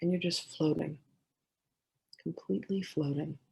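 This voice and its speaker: A woman leading a guided meditation in a gentle, soothing way, giving slow instructions with many pauses. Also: low voice, fairly even intonation, vocal fry, breathy edge, poor audio.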